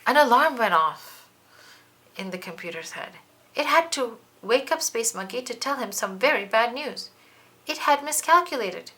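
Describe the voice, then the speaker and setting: A woman's voice: on the high side, lively intonation, normal speed, bright, forceful tone. A woman reading a children's storybook aloud, narrating expressively and dramatically. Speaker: children's book reader.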